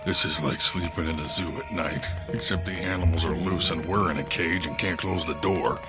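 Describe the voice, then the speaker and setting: A man's voice: deep voice, steady tone, moderate pace, over music, natural voice. A man playing a dry, wry, laid-back sidekick camper in an audio drama. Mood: dry, wary.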